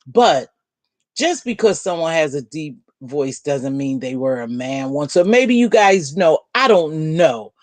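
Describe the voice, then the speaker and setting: Deep-voiced woman chatting on a livestream. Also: sing-song, a little slow, a little mellow, dry recording. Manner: chatty, blunt, calls viewers baby and girl. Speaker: livestream host. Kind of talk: casual live chat, answering viewer comments. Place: at home.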